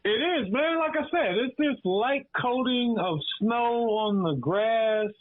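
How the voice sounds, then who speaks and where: A man's voice: very high voice, lively intonation, a little slow, dry recording. A man in his fifties from Detroit, chatting casually as a guest on a radio show and dropping "man" into nearly every sentence. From Detroit, Michigan. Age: 50s. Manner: laid-back, says "man" constantly. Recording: phone line.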